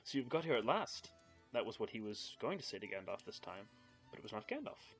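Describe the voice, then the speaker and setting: A man's voice: lowish voice, sing-song, fairly quick, fairly bright tone, faint music behind. A young man on a live stream, reading a book aloud and chatting with viewers in a nervous, giggly way. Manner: giggly, nervous, chats with viewers between passages. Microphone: home streaming mic.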